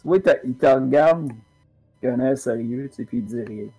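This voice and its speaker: Brief: husky, gravelly man running a tabletop game, calm describer, dark tone. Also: medium pitch, moderate pace, soft background music. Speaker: game master.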